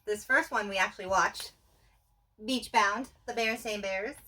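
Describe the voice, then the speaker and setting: A woman's voice: medium pitch, moderate pace, sharp tone, rough recording. A woman co-hosting a casual chat, chiming in with short remarks from farther away from the microphone. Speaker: co-host chiming in.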